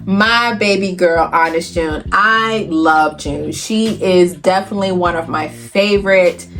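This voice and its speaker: An American woman talking casually as a book vlogger, animated, emphatic and playful, with a folksy, familiar way of addressing viewers. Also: lowish voice, measured pace, soft background music. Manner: animated, emphatic, playful, calls herself auntie; folksy, familiar address with y'all, honey, child. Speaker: book reviewer and video host. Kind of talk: casual, chatty book vlog.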